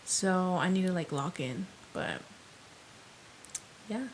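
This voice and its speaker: A woman's voice: fairly low pitch, very animated, slow, a little hiss or hum, low-quality recording. A young adult woman co-hosting a podcast in casual chat, talking with plenty of fillers and easy laughs. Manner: chatty, laughs easily, fillers like "like" and "um". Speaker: podcast co-host.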